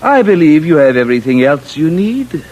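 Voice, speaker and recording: Formal alien official, swooping delivery. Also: male voice, on the high side, sing-song, normal speed, a little mellow. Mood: formal, explanatory. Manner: stiff, precise, formal speech without contractions. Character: formal alien official, male, courteous and smugly confident. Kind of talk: old-time radio drama acting. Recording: muffled vintage radio broadcast.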